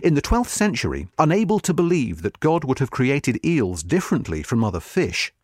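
Lively-pitched man reading an article. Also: normal speed, no echo, clean studio sound. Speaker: article narrator. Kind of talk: scripted narration of a history magazine article.

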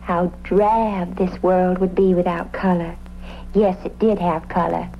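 A woman's voice: lowish voice, little pitch movement, measured pace. Dreamy, flighty eccentric woman. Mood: airy, literal. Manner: dreamy, airy, calls everyone "love". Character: serene, flighty, eccentric flower-loving woman witness. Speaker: actress in a radio police drama. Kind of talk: old-time radio character acting. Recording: muffled, hissy old radio recording.

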